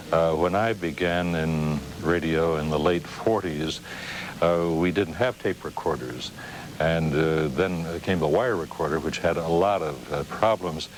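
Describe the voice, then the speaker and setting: Deep-voiced older American interviewer. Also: male voice, low-quality recording. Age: older, around 70. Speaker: television interview host. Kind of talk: conversational interview. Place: television studio. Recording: dated TV broadcast with faint noise.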